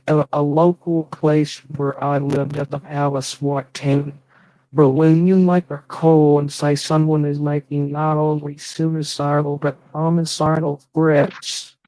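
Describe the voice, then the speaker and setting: A man chatting casually on a live stream, halting and rambling, swearing often. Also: fairly high pitch, mellow, no room sound, low-quality recording.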